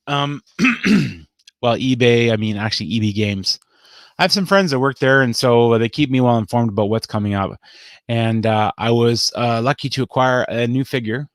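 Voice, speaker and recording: A man's voice: medium pitch, no echo. A man hosting a casual vlog, chatting upbeat and playful as he shows off his collectibles to the camera. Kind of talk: casual show-and-tell chat to camera.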